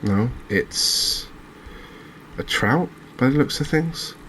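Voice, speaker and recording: A man giving gentle, unhurried ASMR commentary as he plays a game, pausing and hesitating as he goes. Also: mid-range voice, animated, a little hiss or hum. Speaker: host of a gaming channel. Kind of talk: ASMR let's-play commentary.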